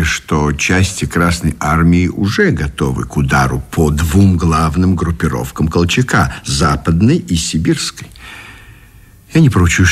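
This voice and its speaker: An actor in a Russian radio drama playing a cynical, domineering middle-aged general with a sardonic, declamatory manner. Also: male voice, deep voice, breathy edge. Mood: sardonic, pressing.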